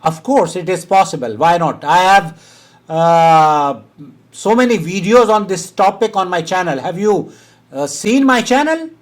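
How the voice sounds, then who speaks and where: A man from India with a high-pitched voice hosts a call-in Q&A. He talks firmly and waves off rumors, with a short laugh now and then. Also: animated, measured pace. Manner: firm, dismissive of hearsay, with short laughs. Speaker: host answering a caller's religious question. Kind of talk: phone-in Q&A.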